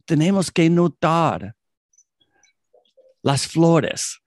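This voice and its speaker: Halting non-native Spanish-speaking man. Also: on the high side, lively intonation, a little mellow, no echo, studio quality. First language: English. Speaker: podcast host, guest. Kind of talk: interview conversation.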